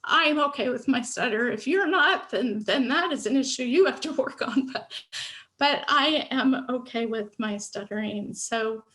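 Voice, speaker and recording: A woman's voice: sits high, measured pace, sharp tone. A woman speech pathologist sharing her personal story on a video call panel, candid, stuttering now and then with fillers and restarts.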